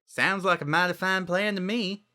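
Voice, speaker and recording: An easygoing, dependable country farm girl, as a narrator plays her. The voice is raised and bright, with a folksy, laid-back cartoon delivery. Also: mid-range voice, normal speed, pitched above the performer's own voice, lightly acted. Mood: agreeable.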